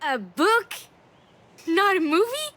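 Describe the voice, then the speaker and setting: High-pitched, curious little child. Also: female voice, big pitch swings, slow pace, a bit bright, full character voice. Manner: inquisitive, wry. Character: curious, cheeky little child talking with mother. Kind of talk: dramatic video game dialogue.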